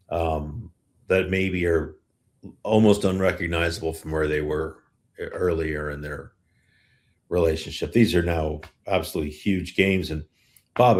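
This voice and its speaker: Man, low-pitched voice, sports podcast host, casual conversation, informal, halting delivery.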